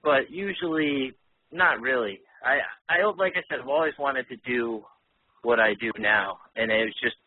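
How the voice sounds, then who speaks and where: A man's voice: medium pitch, moderate pace. A man who hosts a radio show, chatting casually and bluntly as an interview guest. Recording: tinny phone-like line.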